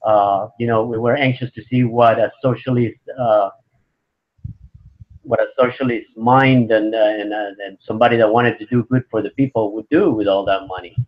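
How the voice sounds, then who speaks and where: A man's voice: lowish voice, steady tone, normal speed. Man in his fifties, lo-fi sound. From Venezuela. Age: 50s. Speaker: interview guest. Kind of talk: conversational interview answers.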